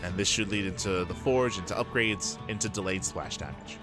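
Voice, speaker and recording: A man's voice: low voice, fairly quick. A man doing live esports commentary in an analytical, explanatory way, often repeating phrases for emphasis. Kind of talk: live StarCraft match commentary. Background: background music.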